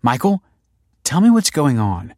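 A man's voice: lowish voice, normal speed, breathy edge, no echo, clean studio sound. A man voicing an alarmed, insistent woman in a narrated story, emphatic and pleading. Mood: alarmed, demanding. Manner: emphatic, pleading, stammering when frightened.

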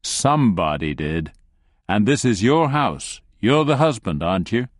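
A man puts on a cruel thug who speaks lower and softer than his narrating voice, calm and amused while he threatens. The voice sounds dry and close, with no room around it. He never rushes and lets each mocking word settle. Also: dry recording. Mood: quiet menace. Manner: soft, unhurried, mocking.

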